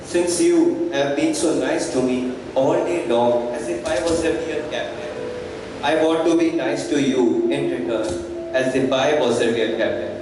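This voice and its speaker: A man's voice: medium pitch, fairly even intonation, measured pace, background noise, natural voice. A young man playing a poor, humbled wandering peddler and thief in an amateur stage play. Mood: grateful, earnest. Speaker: student actor in a school play. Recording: echoey hall, distant mic, music behind.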